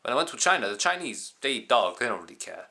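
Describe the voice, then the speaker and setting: A young man playing a self-styled wandering samurai, rambling in a deadpan way with sudden crude outbursts, recorded outdoors on city streets. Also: medium pitch, fast talker, gravelly, no room sound, exaggerated voice. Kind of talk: improvised character comedy to camera. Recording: loud street and traffic noise.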